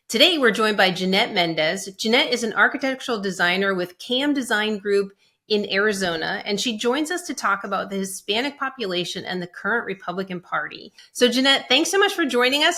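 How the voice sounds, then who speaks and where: A woman hosting an interview show, introducing her guest and asking questions in a polished, measured way. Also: medium pitch, sharp tone. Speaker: host. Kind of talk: hosted interview.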